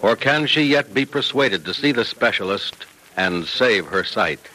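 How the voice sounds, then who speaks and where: Male serial announcer, dramatic scripted read, lowish forceful voice, vintage radio broadcast sound. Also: expressive, unhurried, poor audio.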